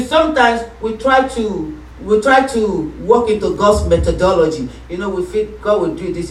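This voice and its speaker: Woman pastor, sermon, call-and-response, shouting peaks, echoey room, distant mic. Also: medium pitch.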